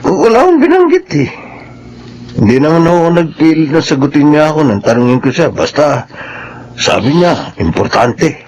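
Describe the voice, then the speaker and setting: A hesitant, obedient funeral parlour underling, speaking in a fairly high male voice with a Filipino accent. It is radio drama acting with faint noise behind it. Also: very animated, normal speed, darkish tone, lo-fi sound. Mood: deferential, hesitant. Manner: hesitant, deferential.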